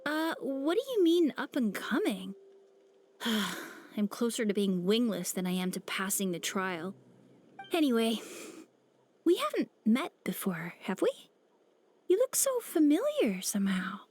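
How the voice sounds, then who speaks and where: A woman voicing an earnest, excitable young girl training to fly, over game music. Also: a little high, a little slow. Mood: wary.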